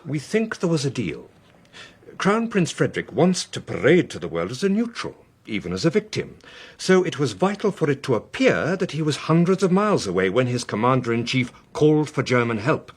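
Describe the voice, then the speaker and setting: Radio drama: government investigator, slightly rough voice, expressive pitch, methodical, polite, in command. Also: male voice, brisk. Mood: grave, certain. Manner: methodical, courteous, authoritative. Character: measured, probing male British government official.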